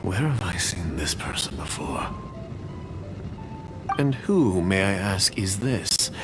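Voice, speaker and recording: Wary agent, dramatically swooping voice. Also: male voice, low-pitched, big pitch swings, slow pace, exaggerated voice. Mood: suspicious. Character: wary, suspicious male secretive agent, stern and formal. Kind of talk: video game character acting. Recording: game music behind the voice.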